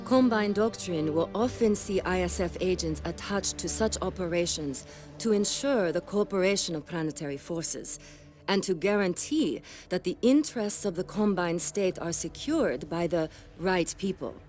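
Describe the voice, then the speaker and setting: Actress as a composed, lowish-voiced female military advisor. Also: expressive, a little mellow, soft background music, lightly acted. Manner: measured, formal, explanatory. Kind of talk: video game cutscene acting.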